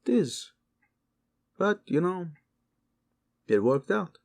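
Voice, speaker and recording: A sly devil of a rogue, acted by a man, pitched a little higher. He is cheeky and teasing, a playful flirt from a fantasy audio drama. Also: very animated, slow, vocal fry, a little mellow, full character voice. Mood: flirtatious.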